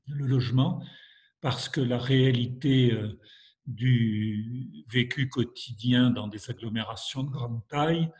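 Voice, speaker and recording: A man's voice: medium pitch, darkish tone. Lecturing man with a head cold. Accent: French. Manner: measured, hesitant with frequent fillers. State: has a head cold, coughing. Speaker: university lecturer. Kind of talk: academic lecture.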